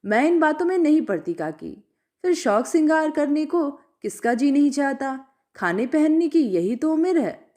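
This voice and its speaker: A woman's voice: sits high, very animated, moderate pace, mellow, pitched above the performer's own voice. A woman voicing a humble, kindly young village mother with deferential, rustic phrasing in a storytelling reading. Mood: earnest defending.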